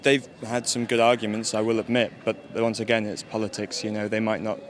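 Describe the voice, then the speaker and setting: A student actor from Sheffield, interviewed outdoors, speaking earnestly. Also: male voice, lowish voice, little pitch movement, a little fast. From Sheffield, England.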